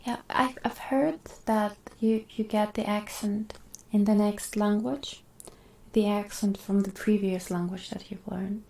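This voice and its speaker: A woman whose first language is Russian, chatting casually with viewers on an ASMR stream, drifting between whispers and soft talk. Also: medium pitch, fairly even intonation, measured pace, reverberant.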